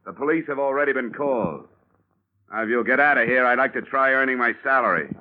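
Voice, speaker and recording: A man's voice: medium pitch, fast, very noisy, close to an everyday voice. An actor playing a wisecracking insurance investigator who narrates his own case, with a dry manner, in a 1950s radio drama. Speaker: narrator and lead. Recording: tinny 1950s radio broadcast.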